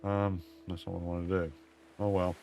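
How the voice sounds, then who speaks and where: A man, streaming a game and muttering to himself in a drawling, self-mocking way, his voice going hoarse. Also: deep voice, flat delivery, fairly quick, a little mellow, soft background music. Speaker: gaming streamer. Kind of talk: casual gameplay commentary.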